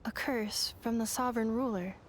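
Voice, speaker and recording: A woman voicing a gentle, hesitant female robot with lost memory in a video game, speaking in questioning phrases. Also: a little high, fairly even intonation, normal speed, a little hiss or hum, close to an everyday voice. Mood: uncertain.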